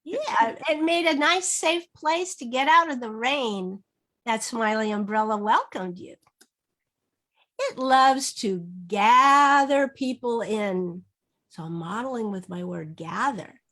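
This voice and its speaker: A woman teaching through an interactive children's read-aloud, modeling phrases slowly and asking prompting questions. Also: a little high, expressive, a bit bright. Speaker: reading teacher. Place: online video session.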